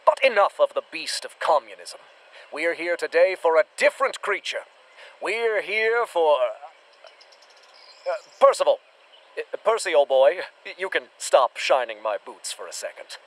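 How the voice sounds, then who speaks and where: An actor with an American accent playing a dim, swaggering, bombastic monster hunter in a retro radio serial. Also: male voice, a little high, sing-song, moderate pace, long pauses, low-quality recording. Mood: self-important. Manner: bombastic, boastful, theatrical.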